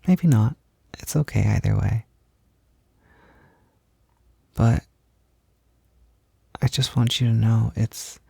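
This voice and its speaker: A man playing a tender, encouraging partner in an intimate roleplay audio, speaking softly in a gentle, hesitant, reassuring way. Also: mid-range voice, dry recording, clean studio sound, exaggerated voice. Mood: soothing, tender. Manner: gentle, reassuring, hesitant, with breathy whispered moments. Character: tender, encouraging man comforting partner while doing makeup. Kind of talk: intimate roleplay audio, soft ASMR talk.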